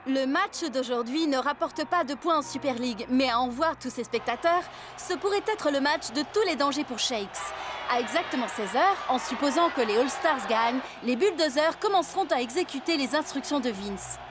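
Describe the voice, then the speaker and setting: An actress playing a narrator in a French cartoon dub, delivering dramatic scripted exposition. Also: female voice, high-pitched, expressive, moderate pace, music in the background. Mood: serious, suspenseful.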